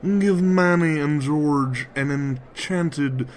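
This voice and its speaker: An actor playing a formal, calculating magician in a comedic fantasy audio drama, with measured and deliberate phrasing. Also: male voice, on the high side, darkish tone, a little hiss or hum. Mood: smooth, formal. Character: formal, calculating male magician offering a deal.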